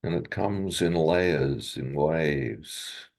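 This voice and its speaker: A man leading a guided meditation in a gentle, unhurried way, leaving long pauses between short phrases. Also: a bass voice, gravelly.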